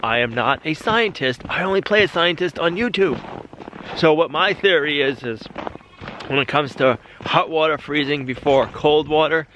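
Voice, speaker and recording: A man's voice: fairly high pitch, normal speed, a bit bright, slight background noise. A man narrating a casual homestead vlog, chatty and explaining each step as he goes. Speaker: homestead vlogger. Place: outdoors on a farm in winter.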